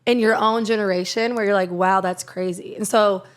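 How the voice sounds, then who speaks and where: Raspy young gal from iowa, just chatting away, says like a lot. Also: female voice, medium pitch, steady tone, moderate pace. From Iowa, USA. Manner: rambling, lots of "like" fillers. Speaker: guest, country singer. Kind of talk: casual interview chat.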